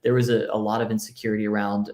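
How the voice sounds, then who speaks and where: A man's voice: on the low side, level tone, rapid-fire, darkish tone. Earnest American man, Spanish first language. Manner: earnest, reflective, with fillers like "right?" and "you know". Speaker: podcast guest, author. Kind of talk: casual interview talk.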